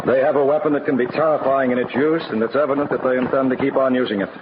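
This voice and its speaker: A determined veteran police captain played by a man speaks with grave authority in vintage radio crime drama style. The voice is mid-pitched on a dull, noisy recording. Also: steady tone, rapid-fire, natural voice. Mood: grim resolve.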